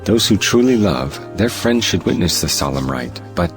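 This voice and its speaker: A man's voice: deep voice, sing-song, normal speed, soft background music. A man playing an earnest, principled clergyman in an audio play, solemn and moralizing.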